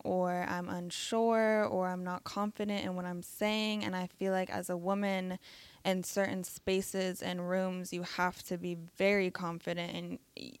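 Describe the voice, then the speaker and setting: A woman's voice: fairly low pitch, clear, resonant tone, dry recording. A young woman who stutters, sharing her experience at a live talk with frequent fillers and hesitations. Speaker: audience member sharing her story.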